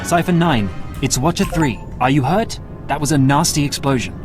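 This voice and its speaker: An actor playing a dutiful, earnest intelligence analyst in a video game, speaking in a businesslike way. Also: male voice, fairly high pitch, moderate pace, over music, natural voice. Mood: concerned.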